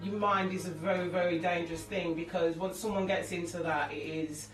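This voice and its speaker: A woman's voice: fairly low pitch, fairly quick. Chatty woman talking candidly. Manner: lively, chatty, jokey, with many restarts. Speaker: singer and actress, interview guest. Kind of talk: candid interview talk. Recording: echoey room, far from mic, music behind.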